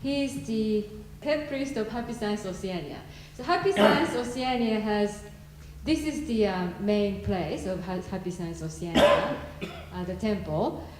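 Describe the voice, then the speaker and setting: A woman's voice: mid-range voice, a little slow, background noise. A woman hosting a gathering, introducing guests in a loose, informal way and laughing now and then. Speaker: host of the event. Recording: echoey room.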